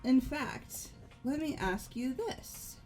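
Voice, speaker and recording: A woman playing a poised, coolly resigned young woman in a fan-voiced visual novel, speaking formally and deliberately. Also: high voice, animated, dark tone, faint music behind. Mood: cool, composed.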